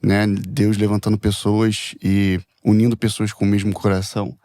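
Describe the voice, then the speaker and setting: A young man from Rio de Janeiro with a Brazilian accent, a podcast guest talking in a reserved, soft-spoken way. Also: fairly low pitch, little pitch movement, moderate pace, no room sound, studio quality. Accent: Brazilian, Rio de Janeiro with Espírito Santo touches. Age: young adult. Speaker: guest, worship musician. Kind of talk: casual chat.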